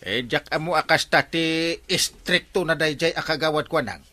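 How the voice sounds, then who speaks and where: A man's voice: on the high side, normal speed, sharp tone. A man acting an exasperated, scolding village captain in an Ilocano radio comedy drama, sputtering and stammering with an Ilocano accent. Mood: puzzled, concerned.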